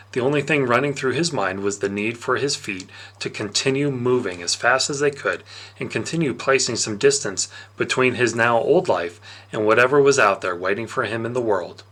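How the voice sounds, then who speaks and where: A man reads a story aloud as an audiobook narrator. His mid-pitched voice moves up and down in a lively way, giving the narration an animated, expressive storytelling feel. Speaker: reader of an audiobook.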